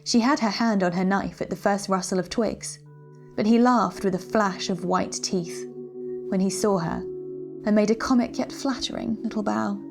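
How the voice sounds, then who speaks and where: A woman reading a literary story as audiobook narration, with a measured, descriptive storytelling delivery. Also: medium pitch, gravelly, music in the background. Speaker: story reader.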